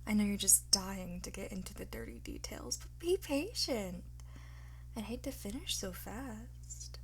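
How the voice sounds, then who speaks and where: A woman playing a cocky, flirtatious art thief in an ASMR roleplay, teasing and smug, often slipping into breathy whispers. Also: medium pitch, very animated, unhurried, slight background noise. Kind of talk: ASMR roleplay, one-sided acted dialogue.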